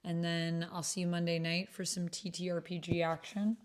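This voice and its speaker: A woman's voice: fairly low pitch, level tone, normal speed. A woman hosting a livestream, chatting casually and informally with her viewers. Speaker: livestream host.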